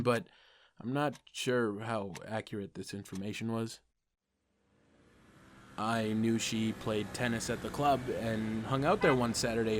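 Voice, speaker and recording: Self-deprecating teen hero-narrator played by a man for a comic dub; medium pitch, sardonic, confiding in the listener. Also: noisy background, lightly acted. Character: wry, self-deprecating ordinary teenage boy turned superhero.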